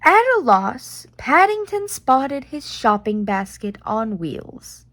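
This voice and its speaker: A woman reading a children's picture book aloud in an expressive, deliberate way with playful reactions. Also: a little high, no echo.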